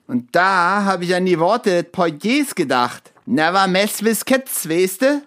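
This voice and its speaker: A man's voice: high-pitched, lively intonation, unhurried, fairly bright tone. A man playing a foolish, cocky, bloodthirsty young miller's son in a comic fairy-tale audio drama, talking in a flippant, colloquial way. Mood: sheepish, chatty. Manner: flippant, colloquial, nonchalant about violence.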